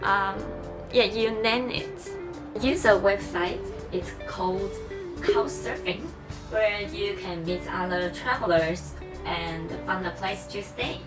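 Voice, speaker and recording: A woman's voice: mid-range voice, slow, low-quality recording. A young woman vlogger whose first language is Mandarin, chatting casually and encouragingly to her viewers, with plenty of fillers. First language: Mandarin Chinese. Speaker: travel vlogger. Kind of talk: casual vlog talk to camera. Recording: music playing behind.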